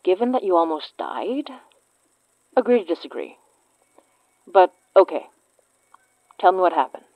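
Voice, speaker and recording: Wry, lower-voiced female investigator. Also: sing-song, measured pace, pauses often, no echo, phone-quality sound. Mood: wry, caring. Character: wry, concerned female investigator taking a colleague's statement. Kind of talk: audio drama acting, conversational.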